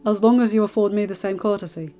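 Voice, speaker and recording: A woman voicing a calm, courteous lord and father. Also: medium pitch, fast, lightly acted. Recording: dull audio with faint music.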